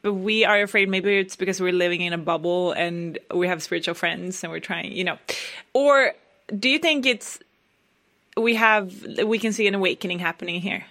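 A woman interviewing conversationally, a little halting as she searches for words. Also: on the low side, fairly bright tone.

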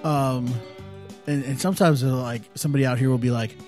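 A man's voice: mid-range voice, fairly even intonation, fairly quick, a little mellow, soft background music. A man hosting his own podcast from home, chatting casually in a laid-back, rambling way. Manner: laid-back and rambling, with frequent fillers and chuckles. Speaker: podcast host. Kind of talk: casual solo podcast chat. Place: at home by an open window.

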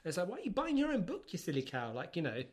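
A man's voice: high voice, huge pitch swings, rapid-fire. A man with a British accent chatting casually as a podcast co-host, talkative and emphatic, with a teasing streak. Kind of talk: casual book chat.

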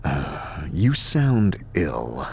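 A man's voice: very deep voice, big pitch swings, extremely slow, gravelly, a little mellow, voice pushed down, exaggerated voice. A man playing an amnesiac immortal wanderer in an audio drama, stoic and determined, asking terse, earnest questions. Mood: calm, concerned.

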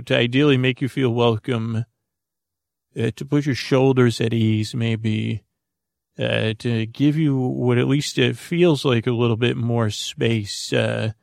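Creaky-voiced man rambling gently off on tangents. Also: mid-range voice, dry recording, clean studio sound. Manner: meandering, halting, full of tangents and false starts; goofy, whimsical. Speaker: podcast host. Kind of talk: rambling sleep podcast intro.

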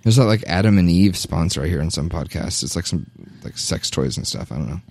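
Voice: low voice, expressive, a little fast, vocal fry, darkish tone, dry recording. A podcast host interviewing a guest, mostly giving short encouraging backchannels. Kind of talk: casual interview chat.

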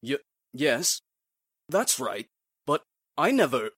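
A man playing a proper, wordy gentleman in a 1940s radio drama, with genteel old-fashioned exclamations. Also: mid-range voice, sing-song, slow pace, slightly rough, no echo, clean studio sound, heavily acted. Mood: flustered.

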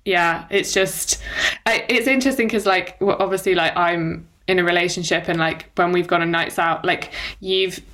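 A woman's voice: on the low side, fairly even intonation, moderate pace, a bit bright. A young British woman co-hosting a podcast in casual, informal conversation, full of youthful phrasing. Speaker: podcast co-host.

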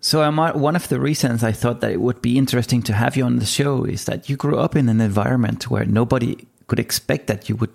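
A man hosting an interview show, speaking in a measured way and giving a motivational introduction. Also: mid-range voice, creaky, a little mellow. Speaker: podcast host.

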